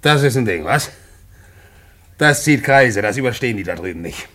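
A man's voice: mid-range voice, animated, normal speed, pushed and bright. A man playing a gossipy, snooping husband in a German radio play, colloquial and insinuating. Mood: gloating, conspiratorial. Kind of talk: 1950s-set German radio play acting.

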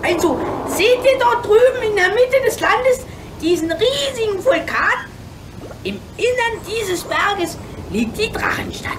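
A woman acts out a whiny, eager young half-dragon in a children's radio play. The voice is piercingly high and childlike, with theatrical swoops. It sounds roomy, with loud noise around it. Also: very animated, measured pace, distant mic, full character voice. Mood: conspiratorial, proud.

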